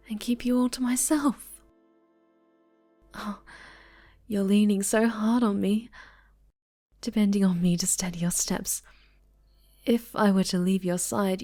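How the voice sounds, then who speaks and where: A woman playing a possessive, sinister winged superhero in an intimate ASMR roleplay, cooing with soft menace and sometimes dropping to a breathy whisper. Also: medium pitch, close to an everyday voice. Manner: cooing, condescending, softly menacing. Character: possessive, sinister winged female superhero, obsessive dark angel.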